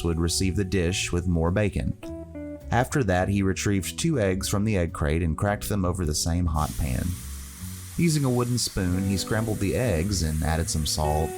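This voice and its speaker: A man narrating a scripted fantasy story, with plucked guitar music playing behind him. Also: deep voice.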